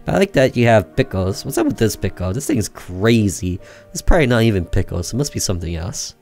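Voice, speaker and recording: Male let's player, low register, casual commentary and story read-alouds, music underneath. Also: very animated, a little fast, creaky, darkish tone. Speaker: gamer doing a let's play, reading game text aloud.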